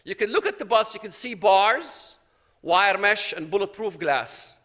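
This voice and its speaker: A man's voice: high voice, expressive, a little slow, bright, forceful tone, big-room sound. A man from Palestine giving a personal testimony, telling his story dramatically and acting out the dialogue. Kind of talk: storytelling talk to an audience.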